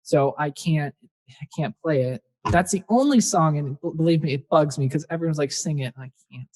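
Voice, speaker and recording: A man's voice: fairly high pitch, brisk, a little mellow. A young man, a singer-songwriter guest, chatting casually in a podcast interview and rambling with fillers. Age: young adult.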